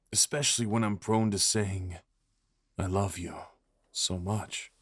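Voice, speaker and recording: A man playing a devoted, possessive fox spirit husband in an intimate ASMR roleplay, rambling softly and tenderly with murmured endearments. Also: lowish voice, no room sound. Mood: soothing, loving.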